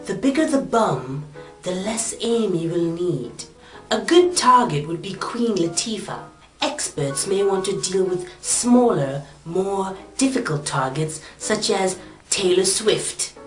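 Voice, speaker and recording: A man's voice: sits high, roomy sound, far from the mic. A man voicing a doctor giving a silly step-by-step lesson in a mock-serious instructional tone, in a comedy skit.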